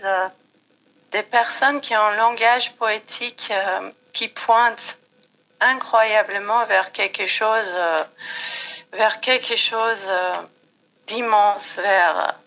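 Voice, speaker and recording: A middle-aged woman from Iran, speaking French with a Persian accent, talks reflectively in an interview with many pauses and fillers. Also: medium pitch, sharp tone, muffled recording. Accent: foreign accent in French. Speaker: guest. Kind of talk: slow reflective interview talk.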